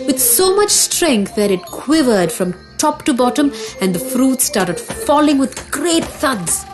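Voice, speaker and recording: A woman's voice: high voice, very animated, slow. A woman narrating a scripted children's mythology story as the storyteller. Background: music.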